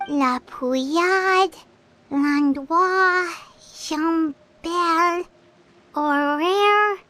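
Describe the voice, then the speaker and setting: A woman voicing a small, excitable fairy companion in a video game, with a squeaky childlike voice and exclamatory manner. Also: very slow, a little hiss or hum, heavily acted. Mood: curious, uneasy. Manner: speaks of herself in third person, exclaims often. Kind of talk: video game character dialogue.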